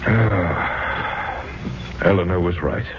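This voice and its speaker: A man's voice: low-pitched, noisy background. An actor playing a centuries-old, melancholy ghost of a nobleman in a 1970s radio drama, speaking in a grandiloquent, theatrical way. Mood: rueful. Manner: grandiloquent, slow theatrical delivery. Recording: muffled, phone-like vintage radio broadcast with music.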